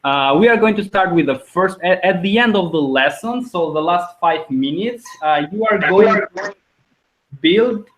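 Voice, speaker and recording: A man's voice: sits high, expressive, unhurried, a bit bright. A young man from Albania with an Albanian accent, teaching an informal online language lesson as host. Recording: dull lo-fi video call audio.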